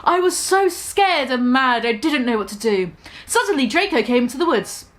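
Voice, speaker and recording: A woman's voice: sits high, animated, normal speed. A woman giving a mock-dramatic reading of a story, breaking off into sarcastic asides. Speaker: comedic reader.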